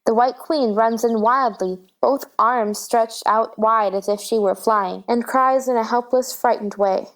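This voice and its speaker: A woman's voice: on the high side, steady tone, a little slow, hardly any pauses. A woman reading stage directions as the narrator of a scripted storybook drama.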